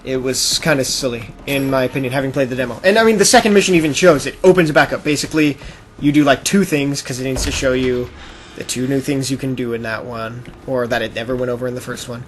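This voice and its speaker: A man hosts a casual gaming podcast over faint noise and game sound. He has a mid-pitched voice and explains things in a rambling way, slipping into imagined quotes. The recording feels amateur. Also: fast. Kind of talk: casual chat while playing a video game. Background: video game sound.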